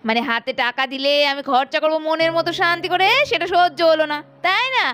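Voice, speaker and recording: Lady doing a bossy doll-cartoon wife, super high voice, talks fast, exclaims a lot. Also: lively intonation, a bit bright. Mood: nagging, indignant. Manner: nagging, repetitive, exclamatory. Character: bossy, demanding, money-loving wife, easily won over. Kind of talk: doll cartoon story voice acting. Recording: faint music behind the voice.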